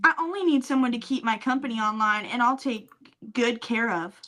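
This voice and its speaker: A woman's voice: a little high, brisk, pushed and bright. A woman co-hosting a casual comedic podcast, reading messages out with wry mockery, swearing casually and laughing often. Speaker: podcast co-host.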